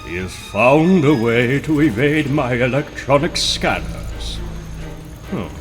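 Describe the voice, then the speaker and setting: A man's voice: medium pitch, animated. A man playing a boastful, menacing supervillain scientist with mechanical arms in a comic book dramatic reading. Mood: boastful scheming. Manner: slow, menacing, theatrically boastful. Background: loud music.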